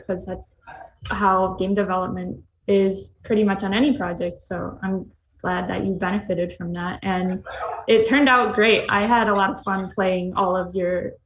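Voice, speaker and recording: A woman interviewing a panel in a casual, polite and appreciative way. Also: mid-range voice. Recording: roomy echo, faint background noise.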